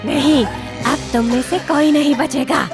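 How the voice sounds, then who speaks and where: A vengeful female ghost from a horror cartoon, gloats and threatens in a high voice. She draws her taunts out slowly over loud music. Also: steady tone. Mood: vengeful, taunting. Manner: taunting, slow, drawn-out threats. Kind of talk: Hindi animated horror story acting.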